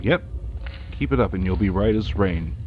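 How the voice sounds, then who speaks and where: A callous male researcher in an audio drama. His voice is on the low side, glib and directing, with dull sound and faint noise. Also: animated, normal speed. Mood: glib, reassuring. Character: smooth-talking, callous male researcher offering hollow reassurance. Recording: muffled, faint background noise.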